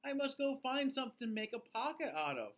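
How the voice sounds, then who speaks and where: A man voicing a small, childlike toy bear in a storybook, curious and wistful, with drawn-out exclamations of wonder. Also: extremely high pitch, very animated, pitched above the performer's own voice. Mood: puzzled, wistful.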